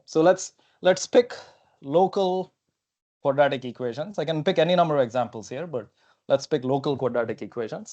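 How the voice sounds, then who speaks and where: A young man whose first language is not English lectures at a whiteboard in a lecture hall, speaking in a somewhat high voice. He talks in a loose, thinking-aloud way, peppered with okays and fillers, picked up cleanly on a clip-on mic. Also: a little mellow, muffled recording. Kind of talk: technical seminar talk at a whiteboard. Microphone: clip-on lapel mic.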